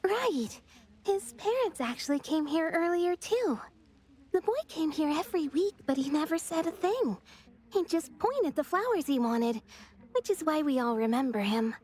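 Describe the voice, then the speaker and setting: A woman playing a sweet, helpful young florist in an anime game, with a gentle shopkeeper's manner. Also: high-pitched, expressive, moderate pace.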